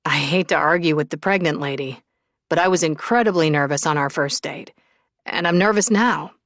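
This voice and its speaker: A woman's voice: low voice, moderate pace, a bit bright, no room sound. A woman voicing a devoted young firefighter husband in an audiobook, earnest and tender. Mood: earnest, nervous.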